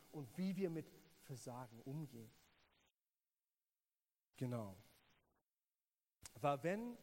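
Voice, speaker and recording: A man from South Africa, speaking with a South African English accent and a native English speaker, preaching a sermon in an earnest, halting way, often repeating words as he addresses the listener directly. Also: mid-range voice, warm tone, husky.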